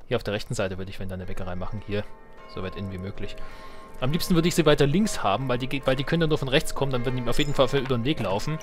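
A man's voice: medium pitch, expressive, racing, over music. A man giving casual gaming commentary as a let's play host, wry and joking as he thinks aloud.

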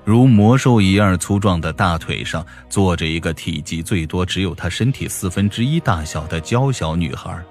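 A man narrating a fantasy novel as a scripted audiobook, in a dramatic, deliberate storytelling manner. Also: sits low, expressive, darkish tone, soft background music.

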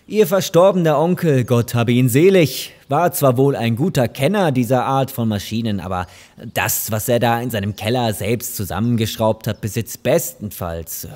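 A man's voice: medium pitch, darkish tone, lightly acted. A courteous, evasive male lawyer acted by a man in an audio drama, with a mid-range voice. He is fussy and hesitant, doubling his phrases as he goes. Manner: polite, hesitant, repeats himself. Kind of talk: German audio drama dialogue.

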